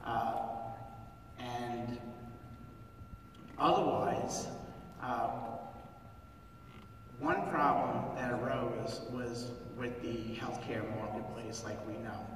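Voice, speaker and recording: A man in his fifties, a physician, speaking at a town hall in a halting, earnest way and clearing his throat between phrases. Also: medium pitch, steady tone, fairly bright tone, a little hiss or hum. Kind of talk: public comment at a town hall. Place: large theater hall. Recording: echoey theater heard from far off.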